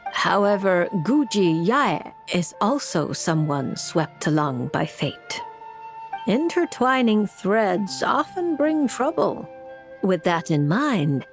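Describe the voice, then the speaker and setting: Enigmatic, slow-speaking mage lady. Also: mid-range voice. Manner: theatrical, mysterious, deliberate. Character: enigmatic, composed noblewoman mage reading fortunes. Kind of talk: video game voice acting. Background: music.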